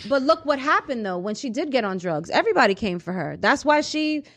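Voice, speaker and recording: An American woman with a fairly high voice hosts a casual, opinionated radio discussion. She talks conversationally and emphatically, often checking that others agree. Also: big pitch swings, a little fast, fairly bright tone. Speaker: radio show host. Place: radio studio. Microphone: studio microphone.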